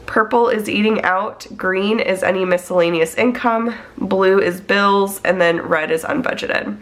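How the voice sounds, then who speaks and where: A woman's voice: medium pitch, a bit bright. A woman in her thirties, congested from a cold, chatting casually as she plans her budget. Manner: chatty, talks through her steps. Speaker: budget planning vlogger. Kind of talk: casual chat while planning on a tablet.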